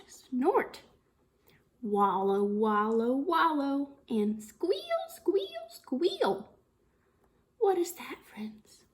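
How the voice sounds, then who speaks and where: A woman leads an interactive storytime for young children. Her voice is high and very animated, rising and dipping in a sing-song way. She takes her time, drawing words out very slowly and deliberately as she reads and puts questions to her listeners. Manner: sing-song, slow and deliberate, with exaggerated expression and frequent questions. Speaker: children's storytime reader and teacher. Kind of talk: interactive read-aloud of a picture book for young children.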